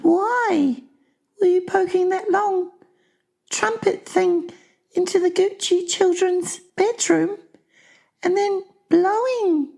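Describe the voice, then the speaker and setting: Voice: extremely high pitch, slow pace, creaky, a little mellow, pitched above the performer's own voice, heavily acted. A performer voicing an earnest, plaintive little orphan girl in a children's audiobook, polite and plain-spoken. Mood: curious.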